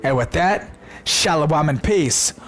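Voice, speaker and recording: A man preaching in a casual, slangy way, hoarse from a sore throat, excited and repeating words for emphasis. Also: a little high, slow, slight background noise. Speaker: religious video host.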